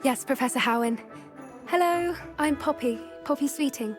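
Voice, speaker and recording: A woman's voice: high voice, moderate pace, over music, close to an everyday voice. An actress playing a kind, eager teenage girl who loves animals in a video game, friendly and breezy. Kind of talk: video game cutscene acting.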